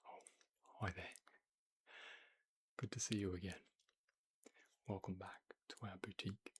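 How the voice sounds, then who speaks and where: A man playing a courteous, attentive boutique tailor in an ASMR roleplay, murmuring and whispering gently, politely and without hurry. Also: low voice, little pitch movement, unhurried, heavily acted. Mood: gentle, attentive. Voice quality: breathy, whispery. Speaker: ASMR performer.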